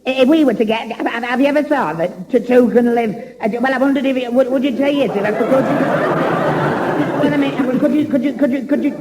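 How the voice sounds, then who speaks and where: A man's voice: extremely high pitch, brisk, big-room sound, crowd noise. A man playing a bashful, stammering young suitor in a 1960s radio sitcom. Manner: stammering, flustered. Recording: hissy radio recording, studio audience.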